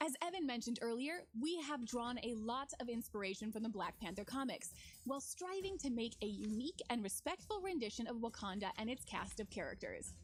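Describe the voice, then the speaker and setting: A woman's voice: fairly high pitch, big pitch swings, fairly quick, slight background noise. A woman giving scripted promotional narration as a game developer presenting new features. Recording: music under the voice. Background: music.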